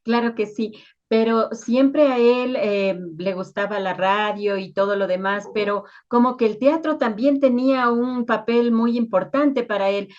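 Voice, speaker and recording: A woman's voice: on the high side, a little slow, a little mellow. A woman interviewing conversationally, commenting and putting questions to a guest. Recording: slightly dull, lo-fi sound.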